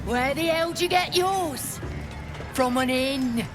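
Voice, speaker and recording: A woman's voice: sits high, slow pace, over music. A woman playing a coarse, hard-drinking goblin raider in a video game, with an English working-class accent. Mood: matey, bragging. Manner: coarse, matey. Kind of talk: video game character acting.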